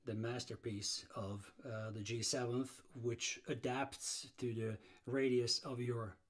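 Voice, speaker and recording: A man's voice: medium pitch, flat delivery, takes it slowly. A middle-aged man from Serbia, hosting a blues guitar livestream in a casual, rambling way with many fillers and restarts.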